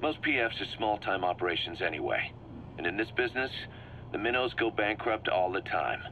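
Shrewd mercenary commander, forceful, assured, emphatic, video game briefing, slightly muffled tape with faint hum. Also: male voice, fairly low pitch, expressive, brisk. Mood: assured, driven. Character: driven, shrewd male mercenary commander briefing his boss. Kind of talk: scripted video game dialogue, explaining plans. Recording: muffled cassette-like sound with background hum.

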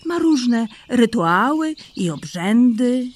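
A loving mother rabbit from a children's audio play, tender and soothing, with a lively rise and fall in her voice, played by a woman. Her slow speech is soft and breathy. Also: fairly high pitch, exaggerated voice. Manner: tender and soothing, calls her son endearments.

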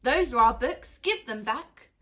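A woman voicing an earnest, excitable six-year-old boy in a children's storybook, with emphatic delivery. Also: a little high, unhurried, exaggerated voice. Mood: urgent. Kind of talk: children's storybook character reading.

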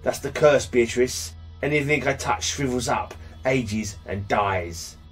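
A man's voice: mid-range voice, slow pace, faint music behind, close to an everyday voice. A man voicing a lonely, cursed man who drains life from anything he touches, speaking in a brooding, mournful way. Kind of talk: comic book reading.

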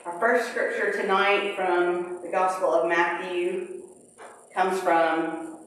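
A middle-aged woman pastor leading a prayer and reading scripture in a measured liturgical way, at a pulpit in a large church. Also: on the low side, little pitch movement, a bit bright, a little hiss or hum. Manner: slow, measured liturgical delivery. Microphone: pulpit mic, far camera. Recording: very echoey sanctuary, distant camera.

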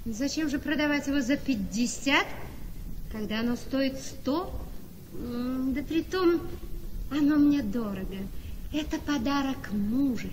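A noisy radio drama recording of a trusting young wife. Her voice is high and full of swooping inflection, genteel, earnest and artless. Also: measured pace, a little mellow, in a large hall. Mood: naive, puzzled. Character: trusting, naive devoted young wife of a landowner. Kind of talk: theatrical radio play acting.

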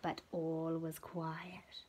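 A middle-aged woman reads a children's story expressively, with playful drawn-out emphasis and hushed moments. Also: low voice, monotone, background noise. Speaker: picture-book reader. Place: small furnished room at home.

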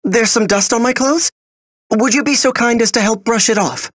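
A woman's voice: a little high, moderate pace, no room sound, clean studio sound, natural voice. A woman playing a polite, modest young noble prince in a video game, speaking in a courteous, formal way. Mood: uneasy, polite. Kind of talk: video game character acting.

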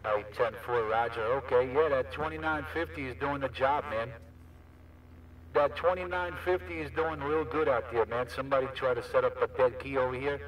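A man's voice: mid-range voice, moderate pace, a little hiss or hum. A man chatting casually as a CB radio operator, with repetitive, slangy patter. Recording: distant CB radio transmission.

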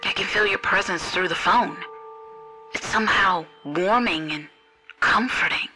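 A woman playing a spellbound, worshipful woman in a dramatized audio story, with a breathy, pleading and devoted delivery. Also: mid-range voice, a little slow, bright, forceful tone, soft background music. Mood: entranced, adoring.

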